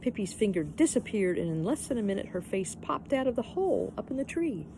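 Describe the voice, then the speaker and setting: A woman's voice: medium pitch, sing-song, a little fast, background noise. A woman narrating a children's story expressively, like a teacher reading aloud.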